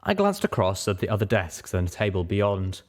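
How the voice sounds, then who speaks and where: A man's voice: fairly low pitch, very animated, moderate pace. A man narrating a first-person fantasy story as a dramatic audiobook reader.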